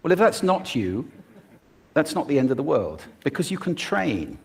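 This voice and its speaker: Lively, expressive male speaker. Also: a little high, moderate pace, gravelly, husky. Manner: expressive, demonstrating vocal techniques. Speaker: public speaker. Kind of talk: prepared talk on stage.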